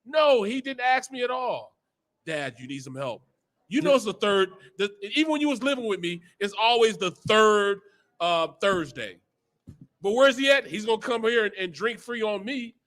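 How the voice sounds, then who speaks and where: A man with a high, sharp, forceful voice in casual comic banter. He is excitable, repeats himself and grumbles playfully at the others on the panel. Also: moderate pace. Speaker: panelist on a radio show.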